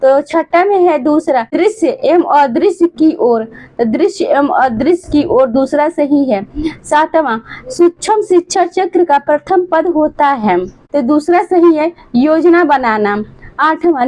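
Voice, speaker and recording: Teacher, female, hoarse, high pitch, brisk answer-by-answer exam review. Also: lively intonation, dark tone, low-quality recording. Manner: addresses students fondly, reads questions then answers.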